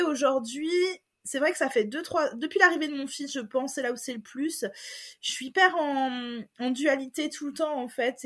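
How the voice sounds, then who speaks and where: High-voiced hesitant French woman guest. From France. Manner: hesitant, many fillers and restarts. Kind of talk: casual personal testimony.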